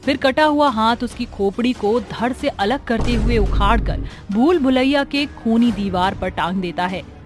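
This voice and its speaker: A woman's voice: on the high side, unhurried. A woman telling a horror story as a scripted, dramatic narration. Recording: music under the voice.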